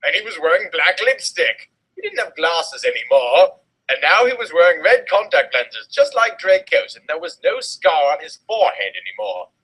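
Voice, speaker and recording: A man's voice: a little high, sing-song, moderate pace, bright, forceful tone, breathy edge, rough recording. A man giving a dramatic, comedic reading of a story with theatrical, exaggerated emphasis. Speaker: reader of fan fiction.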